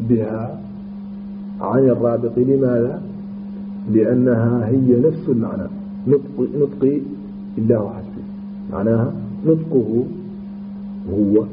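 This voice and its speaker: A man teaching a classical grammar lesson, explaining slowly with restarts and repetitions and chanting verse lines. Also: medium pitch. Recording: tinny phone-like line with background hum.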